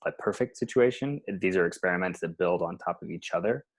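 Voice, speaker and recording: A man hosting a casual interview over a video call, relaxed and conversational with some hesitant restarts. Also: low voice, fast talker. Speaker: interview host.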